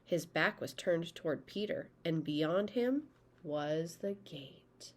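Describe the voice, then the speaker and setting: A woman reading a children's picture book aloud as a storyteller, in an expressive manner aimed at young listeners. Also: low-pitched, takes it slowly. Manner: expressive, acting out sound words, asking listeners questions.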